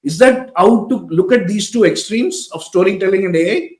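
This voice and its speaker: A man with an Indian English accent hosts a podcast, his voice sitting high for a male speaker. He thoughtfully restates his guest's ideas and follows up with questions. The recording carries a noticeable room echo. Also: a little fast, darkish tone. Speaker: podcast host. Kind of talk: conversational interview.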